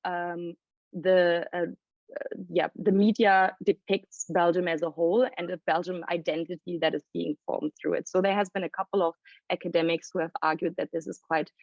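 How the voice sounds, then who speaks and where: A woman presenting findings as a report author at a panel launch, explaining at length and thinking aloud with pauses. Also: on the low side, level tone, no room sound. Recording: dry, dull lo-fi sound.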